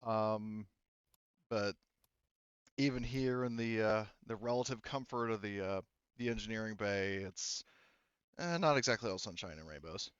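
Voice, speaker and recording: A man voicing a weary, candid woman engineer in a tabletop sci-fi game, speaking haltingly. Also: on the low side, clear, resonant tone, no room sound, highs cut off, natural voice. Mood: guarded, tired.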